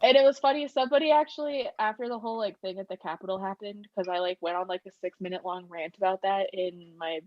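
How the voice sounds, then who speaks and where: A woman's voice: mid-range voice, fast talker, hardly any pauses, no echo, muffled recording. A young woman in her twenties, a podcast guest chatting casually with lots of fillers and laughter, with a slightly nasal voice. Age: 20s. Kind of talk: casual chat.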